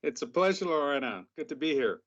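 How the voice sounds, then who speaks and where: A middle-aged man, a professor, answering interview questions in a measured, academic way. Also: a little high, sing-song, a bit bright. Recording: dull lo-fi sound.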